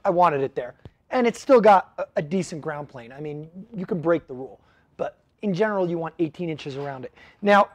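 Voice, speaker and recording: High-voiced man explaining off-road gear. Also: darkish tone, no echo. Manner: direct, practical, frequent "okay?" check-ins. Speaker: shop owner explaining radio gear. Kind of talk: casual how-to explainer. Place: garage, then outdoors in a windy lot.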